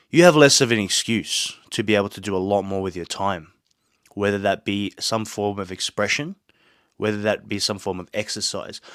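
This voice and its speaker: A man's voice: fairly low pitch, fairly bright tone, smooth, dry recording. A man hosting his own podcast in a small home studio, talking earnestly and motivationally while sick with a sore throat and a blocked nose. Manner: earnest, motivational, rambling asides. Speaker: podcast host. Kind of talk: casual solo podcast monologue.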